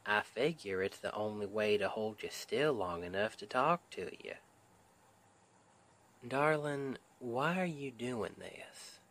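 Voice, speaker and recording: A man's voice: fairly low pitch, expressive, fairly bright tone. A man playing a sweet, protective Southern husband with a Southern US accent, speaking in a folksy, soothing way in an intimate ASMR roleplay. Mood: concerned, gentle. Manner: folksy, tender, unhurried, soothing.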